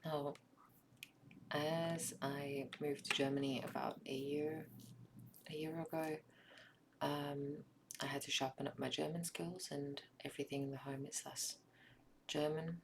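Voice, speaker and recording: A South African woman whose first language is Afrikaans, narrating a craft project in a soft, often whispered ASMR style with frequent ums. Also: deep voice, level tone, a little slow, long pauses, noisy background. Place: home craft table.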